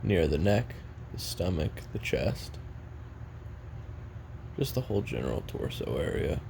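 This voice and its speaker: An actor playing a weary, regretful young assassin in an audio roleplay, making a halting, brooding confession in a gravelly voice. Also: male voice, deep voice, big pitch swings, darkish tone, a little hiss or hum, full character voice. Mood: grim, matter-of-fact. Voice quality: gravelly, creaky. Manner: halting, brooding, casually profane.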